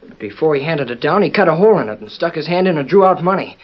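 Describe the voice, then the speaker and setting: An earnest, eager teenage cowboy. He is polite, with a raised and very animated voice, acting in old-time radio style. The broadcast sounds dull and muffled. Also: on the high side, quick pace.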